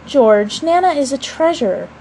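A woman voicing a gentle, affectionate mother in a storybook, with a placid, tender, soothing manner. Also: high voice, very animated, a little slow, a little hiss or hum, exaggerated voice, poor audio. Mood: gently reassuring.

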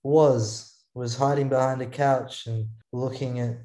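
An Australian man, a songwriter guest on a podcast, telling stories about his past in a halting, rambling way. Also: mid-range voice, fairly even intonation, dark tone. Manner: rambling, halting, restarts often. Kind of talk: casual interview storytelling. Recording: echoey room.

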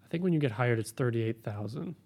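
Man, mid-pitched voice, air traffic controller, casual practical explainer, podcast guest. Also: lively intonation, fairly quick, darkish tone, husky. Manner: plain-spoken, practical explainer. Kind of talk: casual interview answers.

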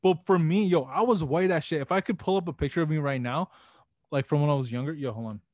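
A man's voice: fairly high pitch, fast, darkish tone. A young man from the Philippines co-hosting a podcast, chatting casually in loose slang and laughing often. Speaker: podcast co-host.

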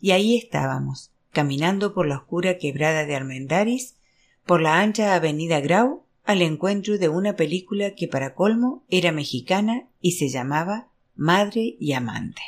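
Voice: mid-range voice, expressive, moderate pace. A narrator reading a literary novel aloud as scripted audiobook narration. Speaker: audiobook reader.

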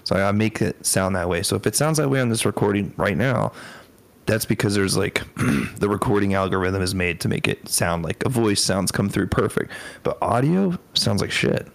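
A man explaining things in an informal, conversational way on a microphone set to sound bassy. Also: animated, normal speed, creaky. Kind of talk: casual explaining. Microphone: close microphone set to sound bassy.

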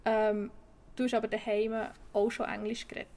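A woman's voice: medium pitch, flat delivery, moderate pace, a little mellow. A woman with a Swiss German accent hosting a podcast, asking questions and often rephrasing them as she goes. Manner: searching, rephrases her questions. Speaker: podcast host, interviewer. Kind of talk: interview questions.

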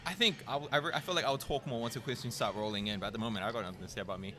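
A man with a mid-pitched voice chats in a relaxed, playful way. He laughs often and drops casual slang. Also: rapid-fire, crowd noise. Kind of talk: casual chat.

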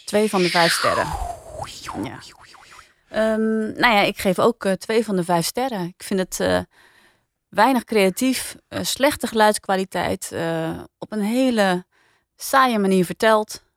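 Woman, podcast reviewer, medium pitch, casual chat, critical and direct, dry close recording. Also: measured pace, no room sound.

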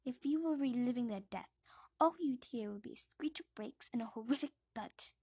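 A harsh, scolding middle-aged aunt with a raised, breathy voice that bites with contempt, as a woman puts her on while reading. She sounds close and dry, with no room echo. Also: a little high, moderate pace. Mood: cold. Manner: loud, sharp and contemptuous.

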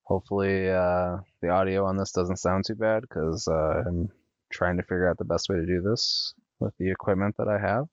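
A man hosting a casual home podcast, talking in a monotone with many ums and uhs, recorded on a headset. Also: low voice, moderate pace, no echo, highs cut off. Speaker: podcast host. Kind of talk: casual solo podcast talk. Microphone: USB desktop headset.

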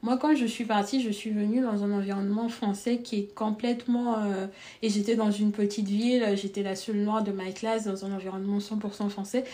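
A woman's voice: mid-range voice, brisk. A young woman with a Congolese accent touched by French, telling a personal story as a podcast guest, earnest and reflective. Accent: Congolese with French influence. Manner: reflective, earnest, lively when recounting.

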